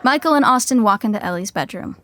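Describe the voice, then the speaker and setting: A woman's voice: on the high side, lively intonation, fast, background noise. A woman narrating a scripted podcast sitcom in a dry, matter-of-fact way, setting the scenes.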